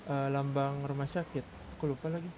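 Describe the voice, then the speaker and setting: A man's voice: mid-range voice, level tone, a little fast, background noise. A man chatting casually with fellow players during a roleplay game. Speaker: roleplay gamer.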